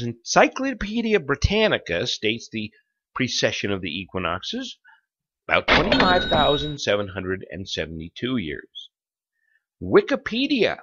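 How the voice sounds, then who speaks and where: A man narrating a lecture in a theatrical, suspenseful documentary style, pausing for effect between phrases. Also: a little high, a bit bright, breathy edge, lo-fi sound. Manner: theatrical, with rhetorical questions and suspenseful pauses.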